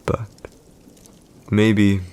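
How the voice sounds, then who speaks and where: Man playing a smug low-voiced villain emperor. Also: completely flat delivery, extremely slow, darkish tone, smooth. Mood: composed, bitter. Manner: smug, teasing, theatrical, formal phrasing. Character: arrogant villainous young male emperor, teasing and secretly wounded. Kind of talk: audio roleplay, intimate monologue to the listener.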